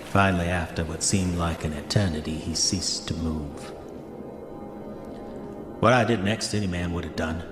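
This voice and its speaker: An actor playing a haunted man of an older century, gravely and formally reading his murder confession in a horror audio drama. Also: low voice, steady tone, roomy sound, music in the background, lightly acted. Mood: grim, confessional. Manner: grave, formal, measured storytelling. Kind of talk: audio drama diary monologue.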